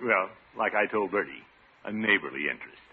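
An actor playing a pompous, blustery, flirtatious middle-aged bachelor uncle in a vintage radio comedy. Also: male voice, on the low side, animated, fairly quick, slightly rough. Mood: flustered. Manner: pompous, blustering, chuckling self-importance. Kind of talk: 1940s-50s radio comedy acting. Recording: tinny, noisy vintage radio recording.

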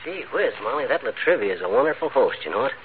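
An actor playing a cocky, folksy wisecracking husband with an American accent in a 1940s radio comedy. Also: male voice, medium pitch, sing-song, fast, lightly acted. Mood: chatty, eager. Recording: tinny, noisy old radio broadcast.

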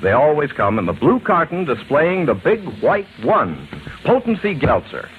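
A man's voice: on the high side, huge pitch swings, moderate pace. An American man reading a scripted 1940s radio advertisement with an emphatic, slogan-driven delivery. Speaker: commercial announcer. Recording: narrow, noisy vintage radio recording.

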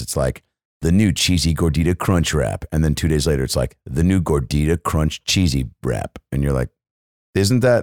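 Young man, very deep voice, comic riffs, dry close sound. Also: a little mellow, dry recording. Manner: riffing, tangents, acts out bits with swearing. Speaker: comedian podcast co-host. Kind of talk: casual podcast banter with comic riffs.

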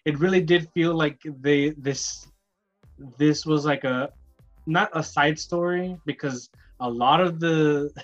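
A man muses casually on a podcast, circling back and restarting his sentences. His voice sits a bit high and comes through a dull, lo-fi mic with faint music underneath. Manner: reflective, rambling, many fillers and restarts. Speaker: podcast co-host.

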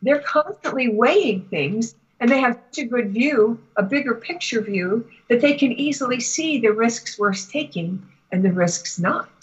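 A woman gives a spiritual reading at a slow, measured pace, pausing often between phrases. Her voice is husky, and the recording is somewhat muffled. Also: on the high side, expressive. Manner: halting, deliberate phrasing with long pauses. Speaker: Akashic Records reader, guest. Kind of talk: spiritual reading given to a client.

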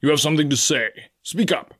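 A man's voice: fairly high pitch, a little fast, fairly bright tone, breathy edge, pitched above the performer's own voice. A man voicing a blunt, open-hearted country squire in a storybook, with an emphatic, formal manner. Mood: brisk.

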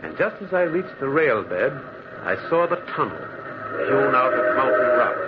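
A man's voice: medium pitch, big pitch swings, normal speed, natural voice. A man playing a brooding loner narrating an old radio tale. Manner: brooding, dramatic storytelling. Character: lonely man living alone by the railroad tracks. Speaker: narrator and lead character. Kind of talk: 1940s radio drama narration. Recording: lo-fi old radio recording with faint hiss.

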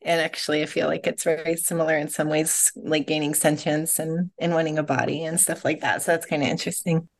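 A woman's voice: deep voice, level tone, brisk, hardly any pauses. A woman author, a guest on a podcast, talking in a chatty, giggly, easygoing way. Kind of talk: casual interview.

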